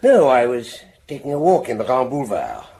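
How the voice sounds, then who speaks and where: A man's voice: mid-range voice, huge pitch swings, a little fast, mellow. An actor playing a haughty, guarded, middle-aged provincial businessman in a radio drama, speaking in a stiff, clipped, evasive way. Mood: guarded, defensive. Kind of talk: BBC radio drama acting.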